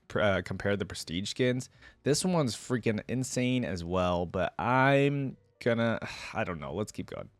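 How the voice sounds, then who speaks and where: A man's voice: mid-range voice, dry recording. A man hosting a casual reaction video, talking to viewers in an informal, slangy, exclamatory way.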